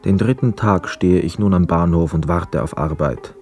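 Brooding young war returnee, deep voice, husky, measured literary narration, music underneath. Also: male voice, darkish tone. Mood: sober, reflective. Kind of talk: literary radio play narration.

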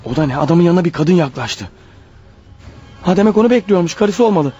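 An actor playing a paranoid, desperate killer on the run in a radio drama, thinking aloud in an agitated, urgent manner. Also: male voice, sits high, animated, a little fast, lo-fi sound, soft background music. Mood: anxious, watchful. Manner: agitated, urgent, talking his thoughts out loud. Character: desperate, paranoid fugitive killer, a married man. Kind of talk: radio drama acting with spoken-aloud thoughts.

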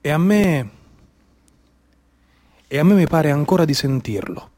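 A man with a Sicilian accent performing a theatrical storytelling monologue in a rhythmic, incantatory manner, with repeated phrases and dramatic pauses. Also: fairly high pitch, sing-song, a little mellow. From Sicily, Trapani area. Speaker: actor and storyteller.

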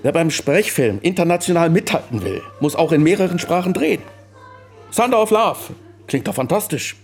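Radio drama film producer: self-assured, slick talker, lightly high voice, faint music. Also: male voice, big pitch swings, moderate pace. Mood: brisk, upbeat.

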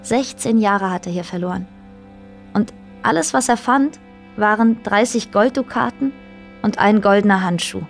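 A woman's voice: medium pitch, normal speed, vocal fry, soft background music, natural voice. A woman reading scripted literary narration as the storyteller of a radio play. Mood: measured storytelling. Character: female storyteller narrating island histories.

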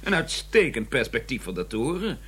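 A man's voice: medium pitch, sing-song, normal speed, bright, forceful tone, full character voice. An actor playing a courteous, earnest academic in a radio drama, hesitant and emphatic, repeating his words when flustered. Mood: puzzled, defensive. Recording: clearly noisy, humming old recording.

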